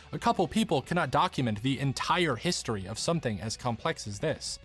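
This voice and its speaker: A man narrating a scripted documentary-style video essay in an earnest, articulate manner. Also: on the high side.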